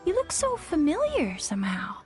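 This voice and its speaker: An actress playing an eager, excitable young warrior girl in a video game, earnest and full of exclamation. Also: very high voice, moderate pace, heavily acted. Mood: curious, self-doubting. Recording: game music behind the voice.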